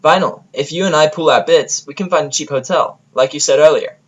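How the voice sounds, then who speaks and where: A man puts on a young cartoon pegasus mare for an audio drama. The voice sits high and pushes forward with brash, eager energy. Lines come out as lively exclamations. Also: brisk, dull audio. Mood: decisive.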